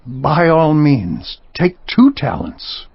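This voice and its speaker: Emphatic, swooping army commander. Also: male voice, a little high, big pitch swings, slow, slightly rough, dry recording, exaggerated voice. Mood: generous. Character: proud, hot-tempered army commander turned humbly grateful. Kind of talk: dramatized scripture audiobook acting. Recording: dull lo-fi sound, faint music bed.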